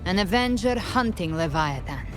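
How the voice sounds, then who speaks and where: An actress playing a cool, guarded avenger in a video game, speaking in a grave, measured, secretive manner. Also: female voice, fairly low pitch, animated, music in the background, lightly acted. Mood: cool, intent. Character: cool, guarded female avenger and monster hunter. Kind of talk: scripted video game voice acting.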